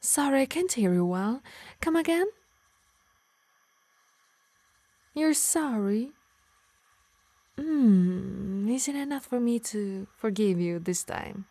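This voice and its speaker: A woman plays a yandere harpy, doting on her beloved while quietly controlling her. The voice is breathy and mellow with a creaky edge, often dropping into whispers. It is intimate ASMR roleplay, teasing and menacing by turns. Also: medium pitch, dry recording. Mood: teasing, forgiving. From Italy. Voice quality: breathy, soft. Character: possessive yandere female harpy, doting yet menacing lover. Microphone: close, dry microphone.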